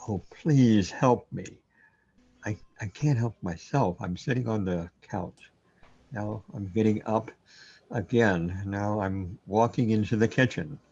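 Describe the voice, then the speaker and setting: An actor playing a troubled, bewildered man in a surreal sci-fi radio drama, hesitant and halting as he muses aloud. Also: lowish voice, darkish tone, breathy edge, muffled recording, full character voice. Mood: distressed. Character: troubled, bewildered man overwhelmed by cosmic reality.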